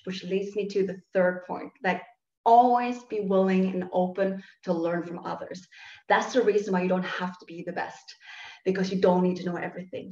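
A woman from Norway speaks earnestly about her own path, gesturing as she talks from her living room. Her voice sits slightly low. The laptop sound is muffled and echoey. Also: warm tone. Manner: earnest, reflective, gestures while talking. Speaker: guest speaker, sociologist. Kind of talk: personal storytelling over video call. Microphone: laptop webcam on video call. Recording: dull, roomy video call audio.

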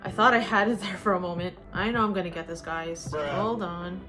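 A woman's voice: lowish voice, brisk. A young woman chatting casually to viewers as an unboxing vlogger, gushing over cute things and talking to objects as she handles them. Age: young adult. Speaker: vlogger unboxing collectible figures. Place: indoors, living room at home. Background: background music.

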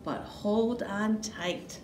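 A woman's voice: medium pitch, drawn-out words, darkish tone. A woman voicing a cheerful, obliging male horse who drives a sleigh in a children's storybook, with a hearty delivery. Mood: inviting, obliging. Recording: roomy, distant mic with faint noise.

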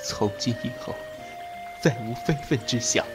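A man acting a devoted, submissive subordinate in an ancient Chinese audio drama, speaking in a halting, emotional way. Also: medium pitch, music in the background, natural voice. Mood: anguished resolve.

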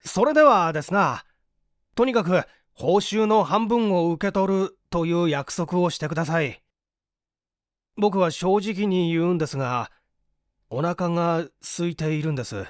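A woman's voice: on the low side, unhurried, no room sound, clean studio sound, natural voice. Brazen, pushy jobless man, acted by a woman. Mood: brazen, coaxing. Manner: polite but pushy, old-fashioned masculine speech. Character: hungry jobless man, brazen, pragmatic, wry.

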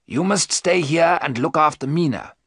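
Grave elderly professor, read by a man, measured and commanding, animated delivery, dry studio sound. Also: fairly high pitch, slightly rough, no echo, voice pushed up, heavily acted.

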